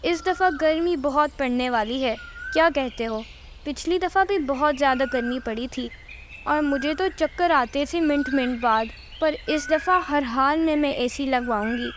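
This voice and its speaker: A woman's voice: high voice, normal speed, background noise, close to an everyday voice. A woman playing a greedy, haughty female crow, a boastful and demanding wife in a children's cartoon. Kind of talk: Hindi cartoon dubbing.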